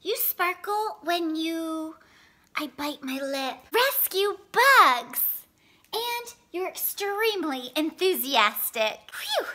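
A woman voicing an excitable, proud little girl fairy princess from a storybook, in an expressive, gushing, dramatic manner. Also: very high voice, slow pace, full character voice. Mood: nervous, triumphant.

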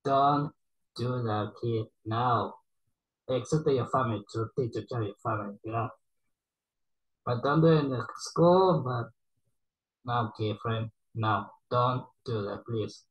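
A man's voice: medium pitch, a little slow, slightly rough, poor audio. A man hosting a reaction video, talking casually in broken English and swearing often. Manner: broken English, swears a lot, laughs along. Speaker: reaction channel host.

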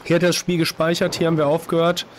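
A hoarse man in his thirties with a cold, casually commenting on a horror game at a desk microphone, reacting jumpily to scares. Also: a little high, completely flat delivery, fast, slight background noise. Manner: chatty, jumpy reactions to scares. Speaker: let's play host. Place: home streaming room, indoors. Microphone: desk microphone, headset on.

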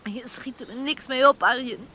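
A woman's voice: high voice, a little slow, bright, forceful tone, a little hiss or hum. An actress playing a headstrong young island woman in love in a radio drama, pleading and defiant. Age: young adult.

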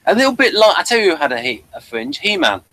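A man's voice: a little high, very animated, fast talker, pushed and bright. A man hosting a casual review chat, explaining things in a rambling way.

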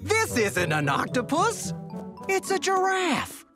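A woman's voice: very high voice, wildly swooping pitch, slow. A woman narrating a children's storybook read-aloud in a playful, emphatic storytelling manner. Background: music under the narration.

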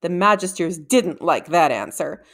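A middle-aged woman narrates a children's novel. Her voice sits a little low for a woman. She reads deliberately, leaving small pauses. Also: expressive, fairly bright tone. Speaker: read-aloud narrator. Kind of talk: children's novel read aloud. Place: small room at home, indoors. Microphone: desktop microphone in front of her.

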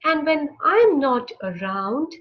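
A woman narrating a picture book for young children, with deliberate, expressive phrasing and short pauses. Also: high voice, muffled recording. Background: music.

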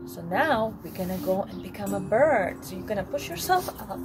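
A woman's voice: medium pitch, animated, normal speed. A young adult woman leading a movement lesson for children outdoors, giving simple, encouraging step-by-step instructions from a little way off the camera. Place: outdoors on a covered wooden deck. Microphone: camera a few steps away. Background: music.

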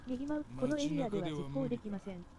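A woman streaming a game, reading its text aloud in a halting way and repeating words. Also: a little high, expressive, crowd noise.

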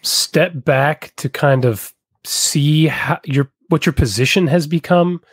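A middle-aged man with a somewhat higher voice hosts a podcast. He asks questions conversationally, close to the mic, with no room sound. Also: slow, breathy edge, no echo. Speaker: podcast host. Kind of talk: casual interviewing. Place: home room. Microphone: close studio mic.

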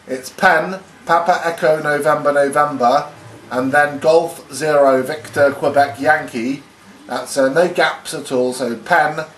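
A man chatting as an amateur radio operator in a hesitant way, repeating himself. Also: on the high side. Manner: hesitant, repeats phrases and spells words phonetically. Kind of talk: casual ham radio contact chat. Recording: echoey room, faint radio hiss.

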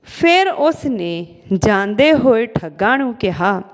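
A woman narrating a scripted folk tale as a storyteller, with deliberate, dramatic phrasing and pauses, in a heavily reverberant recording. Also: on the high side, sing-song. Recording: long hall-like reverb.

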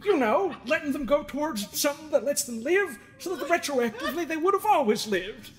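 A woman's voice: high-pitched, lively intonation, brisk. A woman voicing a smug, scolding middle-aged male therapist of the universe in an improvised comedy game dub, rambling, folksy and theatrical. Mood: mock-clinical. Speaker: fan dub voice performer. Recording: music under the voice.

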